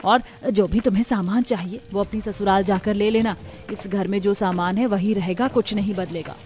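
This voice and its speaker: A woman's voice: mid-range voice, steady tone, normal speed, darkish tone, soft background music, lightly acted. A woman voices a stingy middle-aged mother from a cartoon family tale. She is firm and scolding, every bit the strict parent. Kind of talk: cartoon story character voice.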